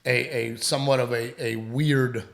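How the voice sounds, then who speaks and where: A man co-hosting a casual podcast at home, talking in halting, rambling runs, with a lingering dry cough. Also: medium pitch, steady tone, gravelly, echoey room, noisy background. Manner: rambling, halting, full of restarts. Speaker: podcast co-host, comedian. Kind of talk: casual chat.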